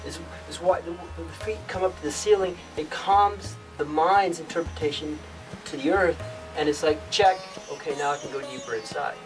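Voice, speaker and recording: A young man giving a meandering spiritual yoga talk in an outdoor rock cave, halting often and restarting his sentences. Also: a little high. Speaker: yoga teacher. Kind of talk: rambling spiritual teaching monologue. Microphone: far camera. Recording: distant mic with music behind. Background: instrumental music.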